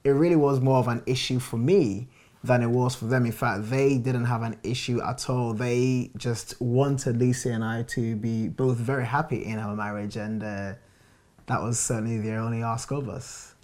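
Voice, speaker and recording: A man speaks in a measured, reflective way about his own life, pausing to choose his words. His voice sits at an ordinary middle pitch. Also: fairly even intonation, darkish tone. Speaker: married guest sharing his experience. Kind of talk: reflective personal testimony.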